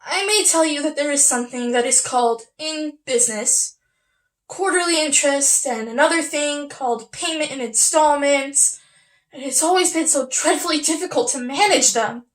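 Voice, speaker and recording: Woman playing proud young housewife, high voice, wistful, earnest, confiding, classic stage drama monologue. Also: normal speed, close to an everyday voice, rough recording.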